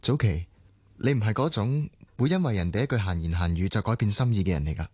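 A man's voice: fairly low pitch, expressive, fast, darkish tone, husky, no echo, studio quality. A man acting a caring, earnest businessman in radio drama dialogue, with a Hong Kong accent. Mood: worried, probing. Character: caring, earnest businessman, a close male friend.

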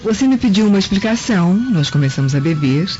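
A woman's voice: medium pitch, expressive, quick pace, warm tone, lightly acted. A woman playing a sly, seductive Roman wife, silky and ironic, in a radio drama. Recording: muffled lo-fi, faint music.

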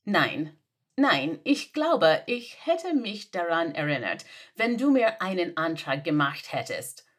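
A woman's voice: lowish voice, very animated, slow pace, full character voice. A woman voicing a flustered young female assistant who answers in baffled, exasperated questions. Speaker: podfic reader. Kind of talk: German audio drama reading.

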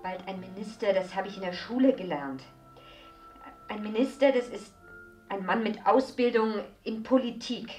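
An actress playing a doubtful, down-to-earth wife in a radio drama, objecting hesitantly. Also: female voice, medium pitch, moderate pace, a little mellow, reverberant, soft background music, lightly acted. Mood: insistent. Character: doubtful, down-to-earth wife, sceptical of her husband.

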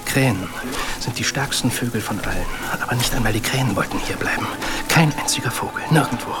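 Actor as shrewd army scout over loud music. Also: male voice, mid-range voice, natural voice. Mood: hushed, ominous. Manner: deliberate, often hushed. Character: shrewd, calm Iroquois army scout, cryptic and watchful. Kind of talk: radio drama acting.

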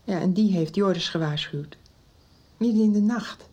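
An actress playing a shaken, insistent middle-aged mother, agitated and halting, in a radio soap. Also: mid-range voice, a little mellow, highs cut off, natural voice. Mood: shaken, anxious. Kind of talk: Dutch radio soap acting.

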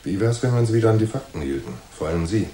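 A man's voice: lowish voice, expressive, racing, mellow, lightly acted. An actor playing a cocky, wry and unflappable male private detective in a German radio crime drama. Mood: sardonic. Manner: wry, unflappable, mock-courteous. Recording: roomy echo with faint noise.

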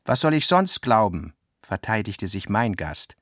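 Articulate radio-drama narrator, dry sound. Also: male voice, lowish voice, very animated, unhurried, a bit bright, no room sound, heavily acted. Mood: observant. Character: literary male narrator recounting and quoting his guest's talk.

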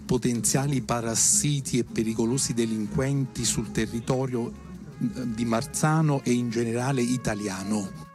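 A man making a measured, wordy political statement in a declarative way. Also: mid-range voice, music in the background.

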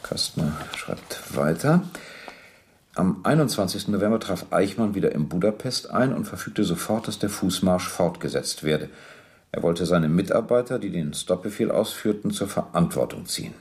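An actor playing a sober, persistent police captain in a docudrama radio play, measured, precise and matter-of-fact as he questions and reads from documents. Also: deep voice, lively intonation, darkish tone. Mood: sober reading.

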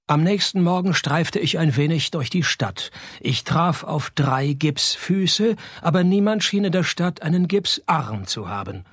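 Deadpan private detective, slightly high voice. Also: male voice, unhurried, breathy edge, no room sound, exaggerated voice. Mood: deadpan narrating. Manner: dry, deadpan. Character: laconic, cynical male private detective. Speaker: narrator and lead actor. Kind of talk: radio detective play, first-person narration and dialogue.

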